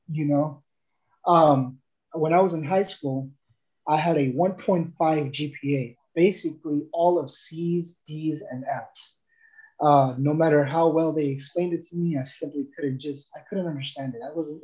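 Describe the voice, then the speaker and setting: A young man from El Salvador tells his own story in a loose, rambling way, often stopping to restart. His voice sits a little high but sounds mellow and warm. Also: low-quality recording. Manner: rambling, with many fillers and restarts. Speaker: guest giving a testimonial. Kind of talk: casual testimonial chat.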